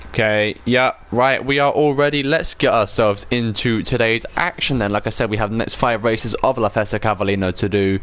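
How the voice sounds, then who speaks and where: A man's voice: on the low side, steady tone, a little fast, few pauses, fairly bright tone, clean tone. A man hosting a video game livestream with casual, chatty commentary, rambling along and correcting himself as he goes. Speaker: livestream host.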